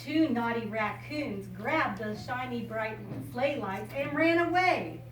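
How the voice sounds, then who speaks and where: A woman's voice: fairly high pitch, animated, slow pace. Older American woman talking to kids, echoey. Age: 60s or older. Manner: simple, explanatory, addressing young listeners. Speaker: storyteller and RV enthusiast visiting children. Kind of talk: informal talk and picture-book reading to children. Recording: echoey room, distant mic, loud background noise.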